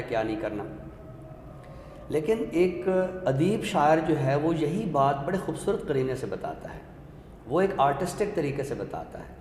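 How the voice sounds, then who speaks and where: A man speaking as a literary scholar, explaining ideas in a measured way and pausing to choose his words. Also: a little high, darkish tone. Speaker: literary scholar, expert guest. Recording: echoey reverberant room, background noise.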